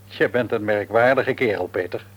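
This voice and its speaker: A man's voice: fairly low pitch, normal speed, fairly bright tone. An actor playing a shrewd, patronising middle-aged father and businessman in a Dutch radio play, wordy and probing, with a Netherlands accent. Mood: probing, chummy. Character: shrewd, patronising businessman father, outwardly jovial, secretly domineering. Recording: radio drama recording.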